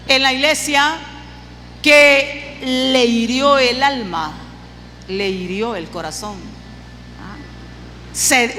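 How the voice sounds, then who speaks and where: A woman's voice: sits high, bright, forceful tone. A woman preacher giving a sermon with personal testimony, speaking emphatically and repeating phrases for effect. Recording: long hall reverb, clear background noise.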